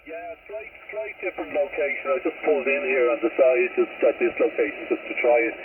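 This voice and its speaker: A man's voice: fairly high pitch, wildly swooping pitch, quick pace, vocal fry, recorded from a distance, phone-quality sound, over a noisy crowd. A man with an Irish accent chatting casually as a radio operator over a two-way link. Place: mobile in a vehicle, over CB radio. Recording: noisy, crackly, thin two-way radio transmission.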